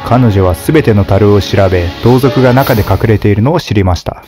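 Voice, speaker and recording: A male storyteller reading a fairy tale in a steady, measured way. His voice sits on the low side, and music plays clearly beneath the narration.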